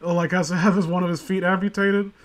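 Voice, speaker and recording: A man's voice: sits high, little pitch movement, fast talker. A man giving casual comedic commentary in a sardonic, rambling, profane way. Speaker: podcast co-host reading and mocking amateur horror stories.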